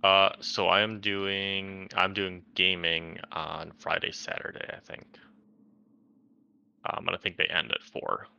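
A man chatting casually as a tabletop player, speaking in a halting, hesitant way. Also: low voice, flat delivery, gravelly, bright, forceful tone, clear, resonant tone. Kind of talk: casual chat. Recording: dull lo-fi mic, background noise.

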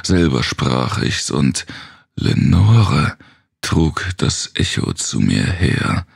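A man with a gravelly, husky voice gives a brooding, theatrical recitation of gothic horror verse, sometimes sinking toward a whisper. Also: extremely low pitch, big pitch swings, very slow, no echo, studio quality. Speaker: narrator.

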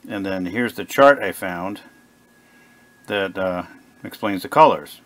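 A man giving a casual, unscripted narration at a workbench, plain-spoken and halting as he thinks aloud like a hobbyist repair vlogger. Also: sits low. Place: indoor home workbench. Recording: clear background noise, workshop ambience.

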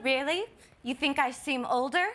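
A woman's voice: high-pitched, moderate pace, a bit bright. A woman playing a naive, lovestruck sixteen-year-old rich girl, earnest, in a TV comedy sketch. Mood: wary, wavering. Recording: music playing behind the dialogue.

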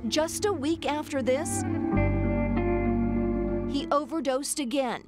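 A woman's voice: high-pitched, takes it slowly. A woman reporter reading scripted news narration. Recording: music underneath. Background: music.

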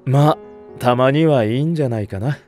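A man playing a calm, mature teacher. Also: mid-range voice, faint music behind, close to an everyday voice. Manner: calm, measured and mildly teasing. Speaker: voice actor. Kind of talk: anime voice drama acting.

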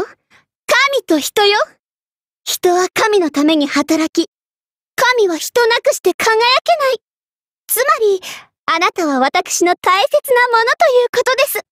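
A woman voicing a haughty, vain young Egyptian queen in a Japanese anime game, imperious and theatrical, quick to get flustered. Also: very high-pitched, no room sound, clean studio sound.

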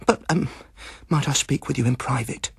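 A man's voice: mid-range voice, moderate pace, vocal fry, slightly rough, no room sound, studio quality. Earnest, polite teenage lodging-house lad played by a male reader, confiding and deferential, audiobook. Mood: apologetic. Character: earnest, deferential teenage boy of seventeen, landlady's son.

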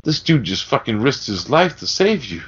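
A man's voice: medium pitch, very animated, moderate pace, a bit bright, husky. A man co-hosting a podcast, discussing film casually in a rambling, sweary, conversational way. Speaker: podcast co-host. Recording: dull, lo-fi podcast audio.

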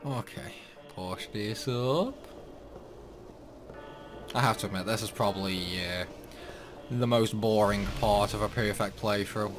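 A man giving casual playthrough commentary on a video game, rambling along with grumbling asides. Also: on the low side. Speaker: gaming video host. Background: game music.

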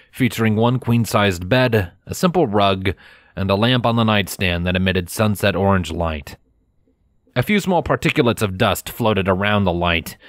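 A man narrating a scripted story in a measured, expressive storytelling manner, close to the microphone. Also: deep voice, dry recording.

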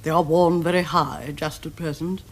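An actress playing a formidable, haughty older Victorian aunt in a period comedy, with an upper-class English accent and precise, pompous diction. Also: female voice, low-pitched, steady tone, moderate pace. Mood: appraising, commanding. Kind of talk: stage-like period comedy acting.

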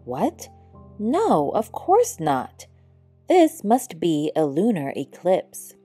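A woman voicing a wise, gentle old male owl in a storybook, patient and measured as he explains. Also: fairly low pitch, wildly swooping pitch, husky, soft background music, heavily acted. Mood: taken aback, explaining. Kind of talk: storybook character voice.

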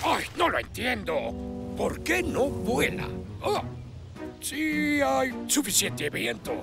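An actor voicing a proud, blustering older fire station chief in a children's cartoon, with a Latin American Spanish accent. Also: male voice, extremely high pitch, big pitch swings, slow pace, creaky, over music, full character voice. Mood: flustered. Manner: pompous, blustering. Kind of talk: children's cartoon dub acting.

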